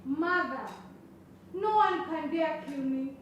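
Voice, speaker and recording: Woman acting high-voiced Kenyan student activist daughter, echoey stage. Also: slow, muffled recording. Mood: defiant, resolute. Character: defiant young student activist daughter, idealistic and bold. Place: stage, distant microphone. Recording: echoey stage recording from far off, faint noise.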